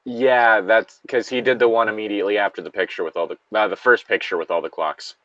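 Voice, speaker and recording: A man's voice: fairly low pitch, fairly even intonation, brisk, bright, forceful tone, no room sound, lo-fi sound. Sweary man chatting casually, dry close sound. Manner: sweary, jokey. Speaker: co-host reading horror stories in a group. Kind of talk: casual group chat.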